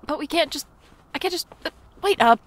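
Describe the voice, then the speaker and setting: A woman's voice: high-pitched, animated, a little fast, slight background noise. A woman playing a wry, jumpy night-shift shop worker who narrates an audio drama in a dry, sardonic way. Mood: flustered. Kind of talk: audio drama acting with first-person noir narration. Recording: music bed behind the voice.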